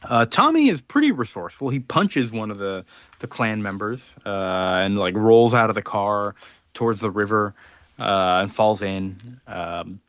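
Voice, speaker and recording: A man's voice: fairly low pitch, animated, unhurried, fairly bright tone, dry recording, lo-fi sound. Chatty man recapping a comic, dry sound. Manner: rambling, many ums and restarts, acts out quotes. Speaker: podcast co-host. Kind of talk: casual comic book recap.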